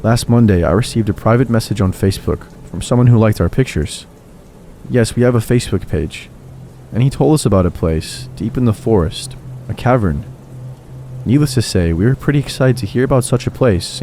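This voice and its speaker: Male narrator, low-pitched and mellow, calm scripted horror reading. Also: slight background noise. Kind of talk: scripted narration of reader stories. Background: faint music.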